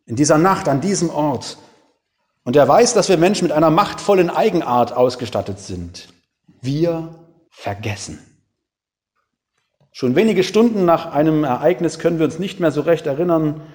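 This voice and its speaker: A man preaching a reflective sermon, with dramatic pauses, rhetorical questions and emphatic single words. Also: fairly high pitch, a little mellow, long reverb tail. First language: German.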